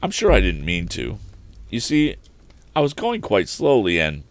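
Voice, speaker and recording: A man's voice: on the low side, wildly swooping pitch, a little slow, no echo, exaggerated voice. A man voicing an earnest, polite young inventor from a storybook, well-spoken and conversational. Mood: apologetic.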